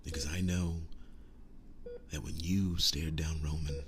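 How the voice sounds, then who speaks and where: A man playing a devoted, tender werewolf boyfriend in an intimate ASMR roleplay, speaking softly and breathily, often dropping to a whisper, earnest and halting. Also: a bass voice, steady tone, background noise. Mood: tender, solemn. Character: devoted, tender werewolf boyfriend sharing his soul with demon knight.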